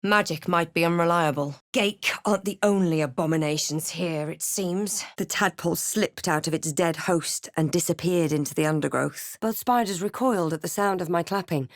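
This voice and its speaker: A woman voicing a wry, observant adventurer heroine in a video game, speaking scripted lines and narration in a measured, understated way. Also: lowish voice, no room sound, clean studio sound, close to an everyday voice. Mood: measured, watchful. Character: female adventurer hero, wry, observant, quietly guarded. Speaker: video game player character.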